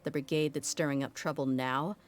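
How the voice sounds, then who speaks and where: Brief: an actress as a candid, deep-voiced female sellsword who speaks plainly. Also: steady tone, a little fast, soft background music, close to an everyday voice. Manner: frank, wry, matter-of-fact. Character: tough young female mercenary, blunt and candid. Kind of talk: video game cutscene dialogue.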